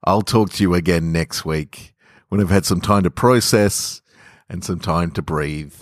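A man's voice: low-pitched, unhurried, dry recording, clean studio sound. A man talking informally as a podcast host and story reader.

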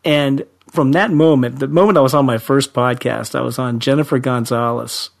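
A man's voice: mid-range voice, steady tone, normal speed, vocal fry. A man, a friendly, self-deprecating podcast guest with a young-sounding voice who laughs often. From Central Ohio, USA. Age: 50s. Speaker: high school teacher, guest. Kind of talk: podcast interview.

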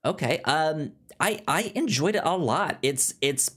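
A man's voice: fairly high pitch, lively intonation, measured pace, a bit bright, slightly rough. A man co-hosting a podcast, chatting casually and often stammering over repeated words. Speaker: podcast co-host. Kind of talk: casual film podcast chat.

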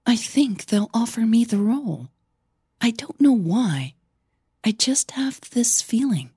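Woman acting out young singer gal, breathy n guarded, kinda evasive, audio drama, dry close sound. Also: on the high side, sing-song, measured pace, warm tone, no room sound, voice pushed up, full character voice. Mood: hushed, hopeful.

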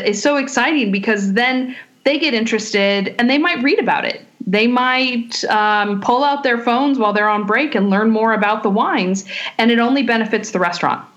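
A woman's voice: fairly high pitch, a bit bright. A woman from California, a sommelier guest, answering questions in a thoughtful way with many fillers and restarts. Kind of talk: casual interview answers.

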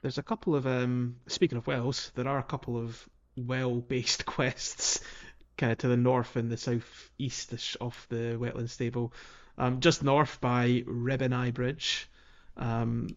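A man's voice: medium pitch, little pitch movement, measured pace, highs cut off. A man co-hosting a podcast, talking casually about a video game playthrough in a chatty, hesitant way. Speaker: podcast co-host.

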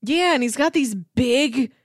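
Female co-host, conversational movie talk, lively intonation, dry close sound. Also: sits high, measured pace, no echo. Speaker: podcast co-host. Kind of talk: casual film discussion chat.

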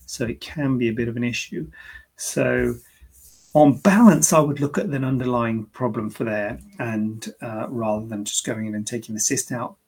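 A gravelly-voiced man with a husky edge hosts a casual live-streamed Q&A as a plastic surgeon. He chats and jokes at his own expense, then explains medical advice in plain, everyday terms. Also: medium pitch, normal speed, darkish tone, rough recording. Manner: chatty, joking, self-deprecating asides.

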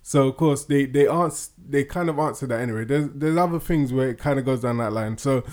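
Man, podcast co-host, casual chat, mid-pitched, hesitant, rambling, lots of restarts. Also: fairly quick, darkish tone. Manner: laughs often.

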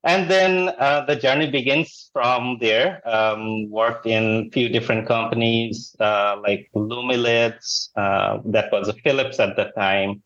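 A man's voice: fairly low pitch, fairly bright tone. A man in his forties from Bangladesh, answering interview questions in an earnest, polite way. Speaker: guest engineer. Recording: dull lo-fi video call.

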